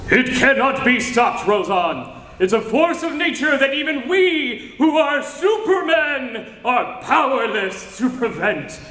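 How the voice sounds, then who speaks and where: A man acts a grave, impassioned scientist of a doomed world in a radio play. His voice is very high-pitched and forceful, ringing in an echoey hall. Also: very animated, slow, full character voice. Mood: grave, insistent. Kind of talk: 1940s-style radio acting.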